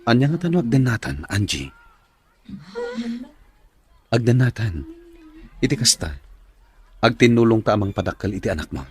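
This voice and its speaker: A man's voice: mid-range voice, big pitch swings, moderate pace, long pauses, natural voice. A man playing an earnest, caring young office worker and suitor in an Ilocano radio drama, speaking expressively. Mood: concerned, tender.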